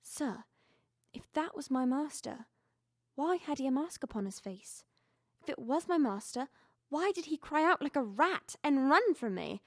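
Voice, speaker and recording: A woman's voice: sits high, animated, a little slow, no echo, clean studio sound. A young woman playing a loyal household servant in an audio drama, earnest, deferential and agitated. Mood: alarmed. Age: young adult. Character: frightened, insistent loyal female household servant.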